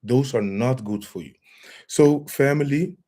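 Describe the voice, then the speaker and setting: Guy doing a slow livestream lecture, mid voice, reads out viewers' names and countries. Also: mid-range voice, warm tone. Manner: slow, greets viewers by name. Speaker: livestream host. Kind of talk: live-stream lecture chat.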